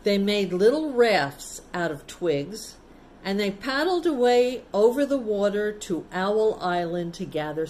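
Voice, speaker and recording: A woman reads a children's picture book at a very slow pace, with a mid-pitched voice. She breaks off for casual, simple explanations aimed at young listeners. There is faint noise behind her. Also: a little mellow. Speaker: children's storyteller, teacher.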